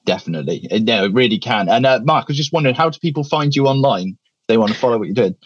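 A man co-hosting a podcast, quick and affirming, over a muffled remote call. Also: medium pitch, expressive, slightly rough, no echo. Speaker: podcast producer and co-host. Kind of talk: casual podcast chat.

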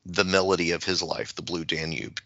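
A man in a reflective literary discussion, halting and searching as he thinks aloud and circles back over phrases. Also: low voice, steady tone, gravelly, pushed and bright, no room sound, dull audio. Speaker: podcast panelist.

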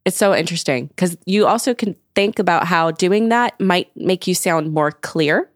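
A woman's voice: fairly low pitch, normal speed, dry recording, clean studio sound. A young woman in casual podcast chat, explaining her research at length with many "like"s. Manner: explanatory, uses "like" often. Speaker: guest researcher.

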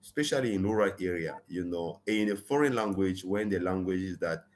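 A man's voice: low-pitched, a little fast, a little mellow. A man, speaking earnestly as an interview guest, often saying "you know" and pressing hard on his key points. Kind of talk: conversational interview, advocacy.

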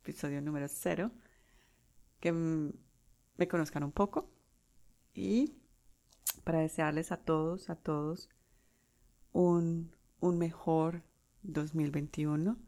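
A Colombian woman in her forties hosting her own podcast, talking unscripted with pauses and restarts. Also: deep voice, fairly even intonation, dark tone. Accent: Colombian Spanish. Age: 40s. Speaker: podcast host. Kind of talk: solo podcast monologue.

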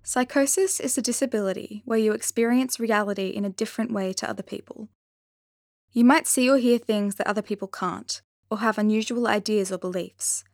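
A woman reading scripted, explanatory narration in a measured, clearly phrased way. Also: mid-range voice, no echo, studio quality.

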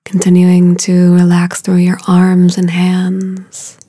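A woman leading a guided meditation in a soothing, unhurried way, with a soft, breathy voice and long pauses between short phrases. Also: fairly low pitch, completely flat delivery, no echo.

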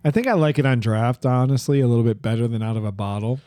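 Mellow, relaxed male podcast co-host. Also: mid-range voice, fast talker, no room sound. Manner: relaxed, agreeable, rambling a little. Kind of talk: casual beer-tasting chat.

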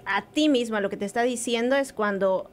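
A woman's voice: on the high side, fairly quick. A woman hosting a casual radio chat, leading the talk and laughing often. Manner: laughs often, leads the conversation. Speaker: radio host.

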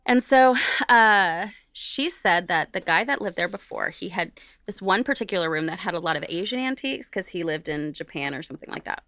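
A woman's voice: fairly low pitch, a little fast, hardly any pauses, no echo. A woman co-hosting a podcast, telling a story casually and acting out what people said as she goes. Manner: animated retelling, acts out quoted remarks. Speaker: podcast co-host.

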